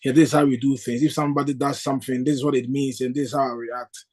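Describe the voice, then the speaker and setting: A man's voice: mid-range voice, flat delivery, fast talker, darkish tone. A man from Ghana taking part in a spiritual discussion over a video call, thoughtful and hesitant, with frequent fillers. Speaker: discussion participant.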